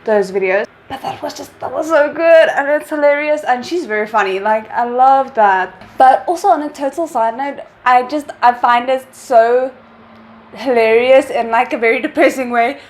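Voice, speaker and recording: A woman's voice: high-pitched, normal speed. A woman hosting a reaction video in casual chat, enthusiastic and gushing about what she watched.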